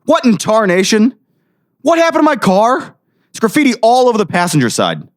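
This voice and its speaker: A man's voice: high voice, wildly swooping pitch, fairly quick, no echo, studio quality. A man playing a weary small-town sheriff in an audio drama, folksy, patronizing and dismissive. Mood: shocked. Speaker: voice actor.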